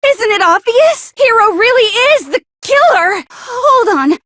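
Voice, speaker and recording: A woman voicing a paranoid, bitter and insecure teenage girl in an anime video game, stammering, defensive and sharp-tongued. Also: very high-pitched, expressive, a little slow, no room sound, heavily acted. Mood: panicked, furious.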